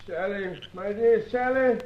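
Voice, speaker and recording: A man's voice: high-pitched, unhurried. An actor playing a dying, blind old scientist in a radio adventure serial, mournful, remorseful and formal in his phrasing. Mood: despairing. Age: older. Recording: dull, echoey room.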